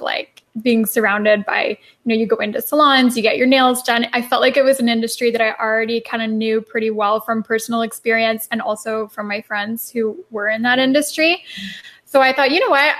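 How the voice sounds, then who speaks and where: A woman's voice: on the high side, fairly bright tone. A young woman, a marketing consultant, answering interview questions in a chatty way with lots of fillers.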